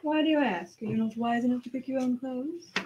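A woman's voice: high-pitched, a little fast, darkish tone, dull audio, natural voice. A woman playing a dry, wry noble wife in an amateur radio play. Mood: dryly questioning. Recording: noisy amateur recording with clicks.

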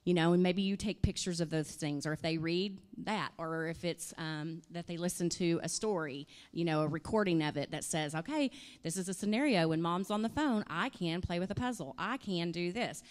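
A woman's voice: on the low side, normal speed, hardly any pauses. Woman presenter with handheld mic. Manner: animated, conversational, uses "you know" and "I mean". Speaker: conference presenter. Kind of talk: workshop talk with audience questions. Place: hotel conference room. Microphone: handheld microphone.

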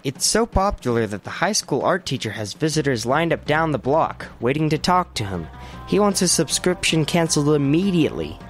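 A man's voice: a little high, very animated, fairly quick, faint music behind. A man playing an anxious, melodramatic boy with a small business in a comedy radio play. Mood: worried, dejected. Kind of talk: comedic radio theater acting.

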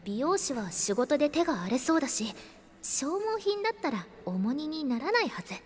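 A woman's voice: high voice, sing-song, moderate pace, a little hiss or hum. An actress playing a timid, lovelorn young woman in a radio drama, wistful and introspective, moving between narration and dialogue. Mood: hopeful, tender.

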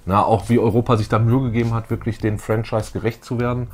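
Husky man chatting as co-host. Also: fairly low pitch, flat delivery, fast, darkish tone. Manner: enthusiastic, emphatic. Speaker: co-host of a retro fan show. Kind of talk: casual enthusiastic chat. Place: small indoor room with collectibles.

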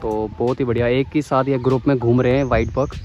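A man's voice: medium pitch, flat delivery, quick pace, darkish tone, lo-fi sound, faint music behind. A man vlogging a casual walking tour of a zoo, chatty and enthusiastic, often exclaiming, recorded outdoors on a handheld camera. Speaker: travel vlogger.

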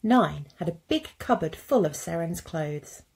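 A woman in her thirties narrating a picture book as a teacher, with deliberate phrasing for young children. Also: lowish voice, expressive, darkish tone, slightly rough. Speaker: teacher reading a story. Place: indoors at a computer.

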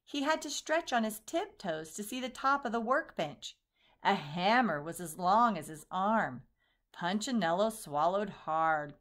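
A woman reading a children's picture book aloud in an expressive storytelling manner. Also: medium pitch, unhurried. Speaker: storybook reader.

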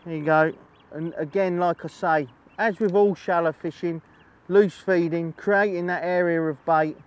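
A man's voice: fairly high pitch, fairly even intonation, moderate pace, highs cut off. A middle-aged man presents a chatty, instructional fishing demonstration, explaining as he goes and often repeating phrases. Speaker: angling presenter. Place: outdoors on a lakeside bank.

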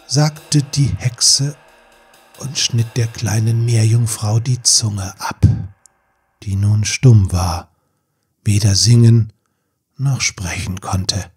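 A man with a breathy, husky voice giving a measured, solemn narration of a fairy tale for an audiobook. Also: lowish voice, a little mellow, faint music behind.

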